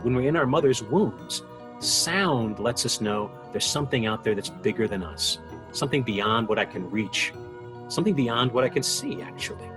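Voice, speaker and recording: A man reflecting in an interview, halting and thoughtful as he picks his words. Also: mid-range voice, lively intonation, over music.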